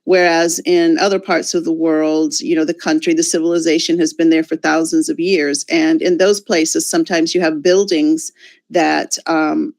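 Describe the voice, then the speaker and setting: Low-voiced American woman, thoughtful speaker. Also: little pitch movement, moderate pace, vocal fry, darkish tone. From United States. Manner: thoughtful, many fillers and restarts. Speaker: guest speaker. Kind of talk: conference Q&A answer.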